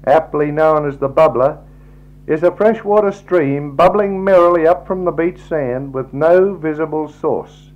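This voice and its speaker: A man with an Australian accent gives a measured, formal scripted travelogue narration in a 1960s style. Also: on the high side. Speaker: slideshow narrator. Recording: dull, lo-fi 1960s tape recording.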